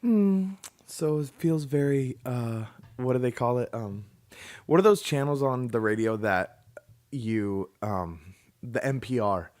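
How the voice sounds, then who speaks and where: A man hosting a comic podcast, delivering crude jokes in a mock-formal, deadpan way. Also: mid-range voice, slow pace, no echo. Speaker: podcast host. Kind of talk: scripted comic podcast intro and banter.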